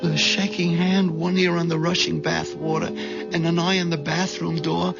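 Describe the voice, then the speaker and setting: An actor playing a timid, hen-pecked middle-aged husband, hesitant and stammering, in a 1970s radio drama. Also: male voice, on the high side, little pitch movement, moderate pace, vocal fry. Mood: anxious narrating. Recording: dull 1970s radio recording, music underneath.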